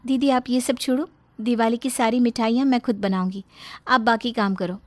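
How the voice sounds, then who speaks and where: A woman's voice: a little high, fairly even intonation, moderate pace, darkish tone, dry recording, voice pushed up, close to an everyday voice. A woman voicing a kind, principled young daughter-in-law, earnest and firm, in a storybook tale. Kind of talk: storybook character acting.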